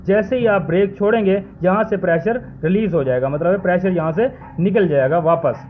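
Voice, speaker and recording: A man's voice: sits high, moderate pace, phone-quality sound, a little hiss or hum. A man in his thirties, a truck mechanic, explaining a brake valve repair step by step in a workshop and often checking in with the viewer. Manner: explanatory, repeats "theek hai" to check in with the viewer; uses frequent Islamic phrases like inshallah and mashallah. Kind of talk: hands-on repair tutorial.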